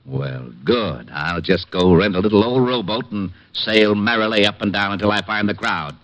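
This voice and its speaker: An actor playing a gruff, sarcastic police lieutenant in an old radio drama. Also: male voice, on the low side, moderate pace, fairly bright tone, natural voice. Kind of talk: 1940s radio acting. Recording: dull lo-fi old radio recording.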